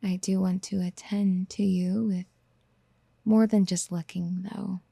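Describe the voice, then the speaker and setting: Actress for a clingy, doting yandere mommy in ASMR audio: slow, hushed and breathy, close and dry, gently coaxing. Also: female voice, mid-range voice, level tone, no room sound, heavily acted. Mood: coaxing, tender. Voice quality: soft, breathy, often near a whisper. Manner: tender, coaxing, hesitant pet-name murmuring. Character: doting, obsessive yandere woman wanting to mother the listener. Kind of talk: soft-spoken ASMR roleplay. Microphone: close microphone in a dry, quiet space.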